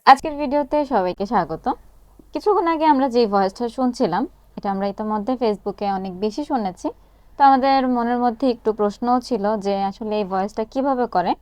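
A woman presenting a casual step-by-step app tutorial, explaining each action haltingly as she does it. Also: on the high side, expressive, a little mellow, no room sound.

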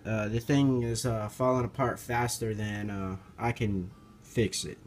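A man giving a casual, hands-on repair walkthrough in an informal, halting, hesitant way, recorded on a handheld camera. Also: on the low side, steady tone, soft background music. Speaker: amateur car repair video maker. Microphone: handheld camera held over the engine. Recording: noticeable background noise, amateur handheld camera.

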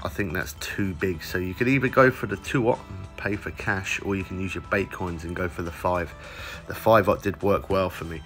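A man with a somewhat low, croaky voice talks through a fishing game's new shop items in a loose, rambling way, often stopping to correct himself. Faint music plays under his commentary. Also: fairly bright tone. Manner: chatty, rambling, self-correcting. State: croaky from lack of sleep. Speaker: gaming YouTuber. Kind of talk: casual gameplay walkthrough. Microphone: phone recording mic.

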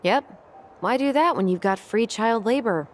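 A woman's voice: a little high, expressive, normal speed, slight background noise, close to an everyday voice. An actress playing a dry, guarded, teasing young American woman in naturalistic video game dialogue. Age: young adult.

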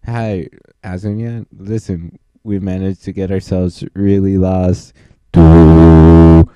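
A man's voice: deep voice, flat delivery, slow, clean tone, dry recording, highs cut off. A man doing a goofy, improvised parody dub as an amateur voice performer, with crude joking and silly repeated nonsense. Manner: silly, repetitive nonsense ad-libbing.

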